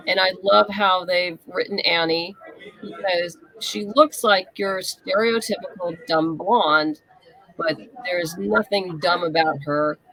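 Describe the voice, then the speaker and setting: A woman's voice: fairly low pitch, fairly even intonation, slow pace, a bit bright, low-quality recording. A woman speaking as an interview guest in casual, conversational chat.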